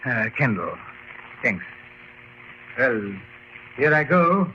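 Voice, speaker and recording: An actor playing a confident, scornful aristocrat with breezy diction in an old radio drama. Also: male voice, medium pitch, sing-song, very slow, heavily acted. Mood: jovial. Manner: hearty, breezy, old-fashioned upper-class diction. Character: confident English aristocrat, jovially scornful of ghost legends. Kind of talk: 1950s radio drama acting. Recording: noisy lo-fi old radio recording.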